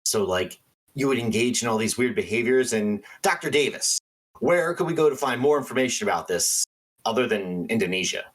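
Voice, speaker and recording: An actor playing a quirky, excitable small-town paranormal radio host in a radio play, theatrical and earnest. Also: male voice, medium pitch, a little fast, close to an everyday voice, poor audio. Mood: conspiratorial. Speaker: radio call-in show host.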